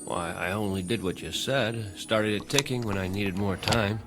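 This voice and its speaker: Young guy in a radio play male actor, lowish voice, eager but hesitant, harried, faint music behind. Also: moderate pace, lightly acted. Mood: defensive, puzzled. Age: young adult. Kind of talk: radio drama acting.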